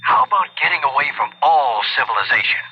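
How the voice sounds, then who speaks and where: An actor playing an eager, folksy middle-aged veteran and pilot with a husky voice, in a radio drama. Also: male voice, mid-range voice, animated, quick pace. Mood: eager, jaunty. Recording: muffled old radio drama recording.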